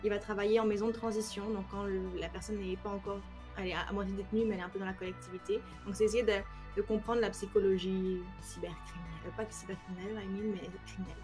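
A woman in her thirties from France, with a French accent and some Quebec expressions, explaining her work in a casual video-call interview with lively hand gestures. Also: medium pitch, very fast, music in the background. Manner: animated, explains with many examples, gestures with hands. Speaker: cybersecurity trainer, guest. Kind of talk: casual interview on a live stream. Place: home room, indoors.

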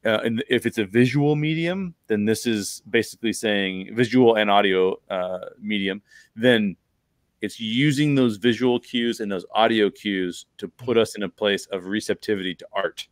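A middle-aged man with a fairly low voice talks as a podcast host, analysing in a conversational, explanatory way. He repeats himself for emphasis, and the close microphone gives a dry sound. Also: moderate pace, no echo. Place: bedroom, video call.

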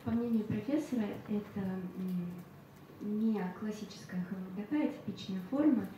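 A woman's voice: medium pitch, normal speed. A woman interpreting in a lecture hall, hesitant, with many fillers. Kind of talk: consecutive interpreting. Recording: echoey hall, distant, faint hiss.

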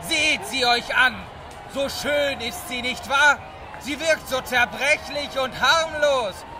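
Pompous, very high-pitched shouting herald. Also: male voice, slow, recorded from a distance, music in the background, a crowd in the background. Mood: furious accusing. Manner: declamatory, projecting, oratorical. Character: pompous male herald rousing a crowd with fearmongering. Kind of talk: theatrical audiobook proclamation.

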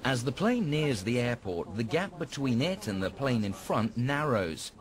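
A man's voice: medium pitch, very animated, a little slow. A man reading scripted narration for a television documentary. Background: music.

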